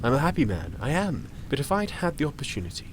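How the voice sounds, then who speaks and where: An actor playing a genial, philosophical middle-aged gentleman in an audio drama, speaking with measured, musing pauses. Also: on the high side, sing-song, background noise. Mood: earnest, encouraging. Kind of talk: theatrical audio drama acting.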